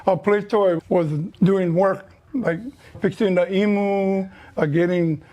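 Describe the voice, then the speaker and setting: A man's voice: high-pitched, steady tone, takes it slowly, dark tone, lo-fi sound. A middle-aged man from Niihau with a Hawaiian Pidgin accent, Hawaiian his first language, telling stories as a guest in short, plain-spoken answers. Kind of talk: casual storytelling.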